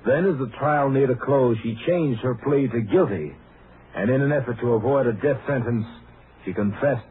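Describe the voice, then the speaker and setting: A man's voice: mid-range voice, moderate pace. A man giving formal scripted narration in the style of a 1950s radio drama. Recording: muffled, hissy old radio recording.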